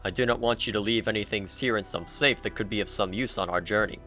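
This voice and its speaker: A man's voice: fairly low pitch, fast talker, pushed and bright, faint music behind. A man voicing a cold, calculating, dismissive immortal lover from a video game story, in a dramatic reading.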